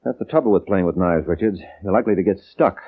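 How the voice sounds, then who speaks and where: An actor playing a tough, wisecracking private detective in a 1950s radio drama, with a clipped, wry delivery. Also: male voice, deep voice, fast. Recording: noisy, narrowband old radio recording.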